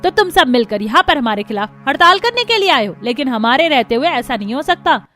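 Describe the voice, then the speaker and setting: A strict mother-in-law from a cartoon family drama, voiced by a woman, with a fairly high voice. She scolds in a firm, bossy way over faint music. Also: very animated, fairly quick, sharp tone, heavily acted. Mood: scolding. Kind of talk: cartoon voice acting.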